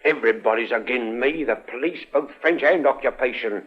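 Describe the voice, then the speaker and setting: An actor playing a tough, wary smuggler boss with a gruff, husky voice in an old radio drama. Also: male voice, medium pitch, a little fast, lightly acted. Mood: wary, bargaining. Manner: gruff, threatening, slangy. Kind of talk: 1950s radio drama acting. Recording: muffled vintage radio recording.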